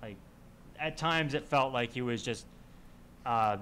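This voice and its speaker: Halting man with noise behind him. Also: mid-range voice, fairly bright tone. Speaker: podcast panelist. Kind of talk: casual group discussion of a video game.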